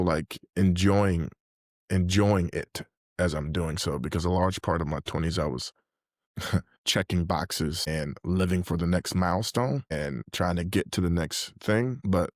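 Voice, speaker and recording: Deep-voiced young man, reflective and earnest. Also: unhurried, dry recording, studio quality. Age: late 20s. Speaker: podcast guest, mentor. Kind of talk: reflective conversational interview.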